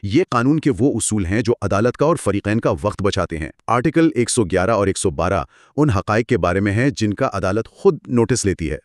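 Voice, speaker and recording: A man with a fairly low voice explains material in a scripted dialogue. His intonation moves widely as he teaches, and the sound is close and dry, free of room echo. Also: lively intonation, normal speed, few pauses. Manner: didactic, explanatory. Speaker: co-presenter explaining law in a lecture.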